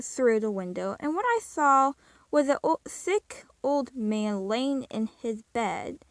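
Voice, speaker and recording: A woman's voice: a little high, fairly bright tone, no room sound. A young woman telling a story to her channel casually, rambling and breaking off with fillers. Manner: rambling, self-interrupting with fillers. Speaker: YouTuber.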